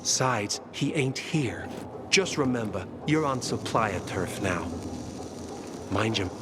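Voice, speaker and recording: An actor playing a surly, territorial male guard in a video game, gruff and dismissive in his slangy talk. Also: lowish voice, expressive, slow pace. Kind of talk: video game dialogue acting. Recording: noisy outdoor game ambience.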